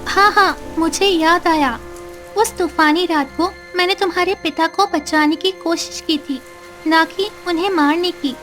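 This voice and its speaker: A woman voicing a gentle young mermaid, sweet and earnest, in a dramatised cartoon story. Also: very high-pitched. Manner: earnest, pleading, sweet-voiced.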